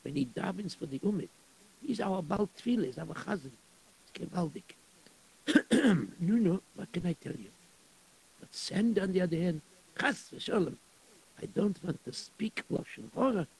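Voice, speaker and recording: An actor playing a gossipy, sighing male synagogue caretaker with a husky voice. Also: high-pitched, big pitch swings, normal speed, a little mellow, exaggerated voice, rough recording. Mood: gossiping. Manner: sighing, gossiping, fussing over tips.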